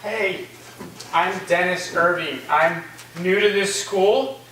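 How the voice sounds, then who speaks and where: A man's voice: high voice, slow, pushed and bright, full character voice. A man playing a polite, awkward young new college student in a staged reading, speaking hesitantly. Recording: very echoey, distant recording with faint noise.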